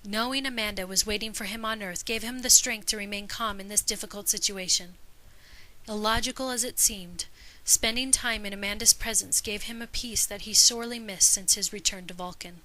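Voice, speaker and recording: A woman's voice: mid-range voice, a little fast, sharp tone, rough recording. A woman reading fiction aloud as a scripted story narration. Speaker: podfic reader.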